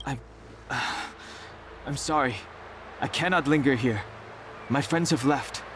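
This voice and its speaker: Man playing a slow, guarded, haunted young hero. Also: mid-range voice, noisy background, lightly acted. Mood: guarded, regretful. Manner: grave, guarded, hesitant. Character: troubled, reserved young man renouncing a haunting past. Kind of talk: dramatic video game voice acting.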